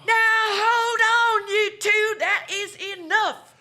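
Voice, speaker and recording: Earnest rustic deputy played by a woman, piercingly high and unhurried, for a western radio play. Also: steady tone, sharp tone, heavily acted. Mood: worried, firm. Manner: drawling rustic talk. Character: folksy male deputy sidekick in a western, earnest. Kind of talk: western radio drama acting.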